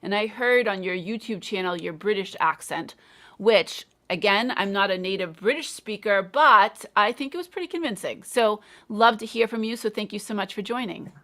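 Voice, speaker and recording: A woman in her thirties hosting a live online interview, speaking in an encouraging, measured way. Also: mid-range voice, lively intonation, a bit bright. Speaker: host.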